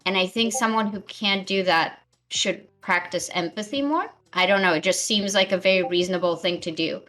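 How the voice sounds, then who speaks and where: A woman's voice: medium pitch, normal speed, fairly bright tone. A young woman talking in a casual online panel, thoughtful and full of fillers. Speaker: panel guest.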